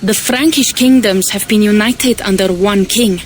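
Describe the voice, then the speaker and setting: A woman's voice: mid-range voice, normal speed, slight background noise, lightly acted. A woman playing a persuasive Viking envoy. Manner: earnest, persuasive.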